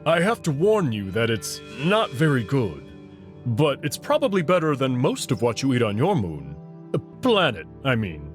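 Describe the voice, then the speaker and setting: A man voicing a friendly, clumsy male alien wolf in a visual novel, nervous and halting in his slow speech. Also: medium pitch, wildly swooping pitch, soft background music. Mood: apologetic, awkward.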